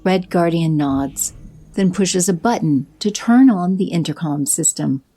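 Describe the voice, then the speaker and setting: Mellow woman narrating an audio drama. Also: on the low side. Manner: measured storytelling.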